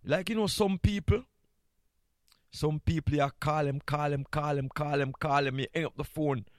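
A man's voice: fairly high pitch, steady tone, moderate pace, dry recording. Jamaican man hosting radio, close dry sound. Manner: playful, candid, repeats phrases for emphasis. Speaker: radio host. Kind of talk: casual morning radio chat.

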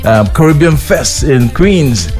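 A man's voice: fairly high pitch, animated, a little mellow, soft background music. A man hosting a casual interview, often stumbling and repeating words as he asks questions. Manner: repeats words, halting restarts. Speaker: radio or online show host.

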